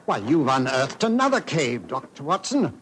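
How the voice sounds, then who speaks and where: An actor playing a hesitant but enthusiastic antiquarian explorer in an old-time radio drama. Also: male voice, mid-range voice, big pitch swings, normal speed, a bit bright. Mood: excited. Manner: hesitant, enthusiastic, repeats words. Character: eager, fussy English antiquarian cave explorer. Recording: old radio recording, faint noise.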